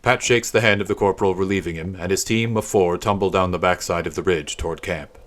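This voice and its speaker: Deep-voiced male story narrator. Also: fairly quick, fairly bright tone. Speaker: narrator of a literary story podcast. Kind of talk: scripted dramatic story narration, present tense.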